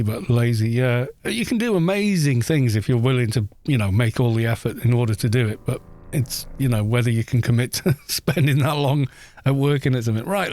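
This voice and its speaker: A man's voice: medium pitch, darkish tone, no room sound. Casual, rambling male game streamer. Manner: rambling, chuckling self-deprecation. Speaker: game livestreamer. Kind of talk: casual livestream chat.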